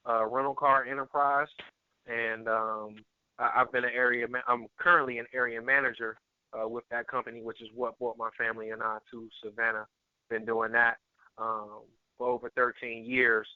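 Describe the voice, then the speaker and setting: A man's voice: medium pitch, flat delivery, dry recording. Man on a tinny phone line. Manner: earnest, halting, repeats words. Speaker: guest, network marketer and area manager. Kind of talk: conversational testimonial on a conference call. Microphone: telephone conference call.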